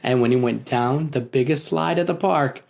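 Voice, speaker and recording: A man hosting and narrating a children's story in an expressive, animated read-aloud style, addressing listeners directly. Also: medium pitch, moderate pace, vocal fry. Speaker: storytelling podcast host and narrator.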